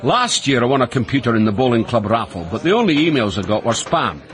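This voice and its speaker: A man's voice: mid-range voice, very animated, fast talker, close to an everyday voice. An actor playing a roguish, boastful Scottish man in his sixties from Dundee in a radio comedy, colloquial and mock-sincere. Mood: matter-of-fact. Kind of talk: radio comedy acting, reading out emails.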